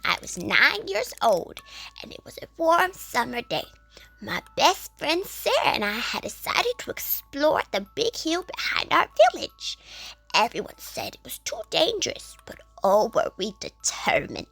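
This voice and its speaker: A kindly, devout old village lady acted by a woman for a children's audio story. Her high voice swoops expressively and creaks at the edges, dropping into gentle hushed phrases as she reminisces. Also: wildly swooping pitch, a little slow, no echo, faint music behind, full character voice. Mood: nostalgic, warm. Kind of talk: children's audio drama acting.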